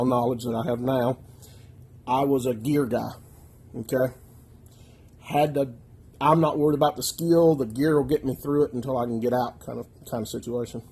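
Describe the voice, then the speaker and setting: A middle-aged American man with a nasal, slightly husky voice, still getting over a chest infection. He talks through his gear in a plainspoken, folksy way, with a dark, mellow tone picked up by a camera mic in a living room. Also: medium pitch. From United States. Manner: plainspoken, folksy, keeps checking in with "okay?". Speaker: outdoors channel host. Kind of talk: casual gear walkthrough.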